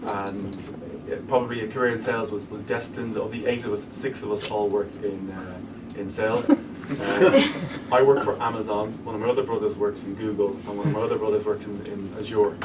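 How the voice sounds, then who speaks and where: Low-voiced Irish man, distant room mic. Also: a little fast, few pauses, noisy background. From Ireland. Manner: explanatory, businesslike, with frequent restarts. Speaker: guest speaker. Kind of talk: interview talk to a live audience. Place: event room with an audience.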